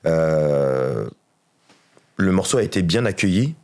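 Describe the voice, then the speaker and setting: A middle-aged man with a very low, deep voice talks as a guest in an interview. He answers in a relaxed, laid-back way, full of fillers and easy agreement. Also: slow. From France. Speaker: musician guest.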